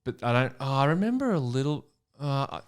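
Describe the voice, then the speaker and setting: A man's voice: mid-range voice, sing-song, a little fast, clear, resonant tone. A man of about forty chatting casually as a podcast guest, with a dry, joking, mock-earnest manner. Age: around 40. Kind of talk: casual comedic chat.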